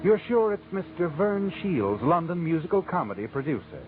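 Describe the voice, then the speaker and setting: A sardonic, all-knowing narrator with a rather high voice, needling and ominous. He tells the story in vintage radio suspense style. Clear music plays behind him on a narrow, phone-like recording. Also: very animated, moderate pace. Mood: wry storytelling. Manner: ominous, taunting second-person address.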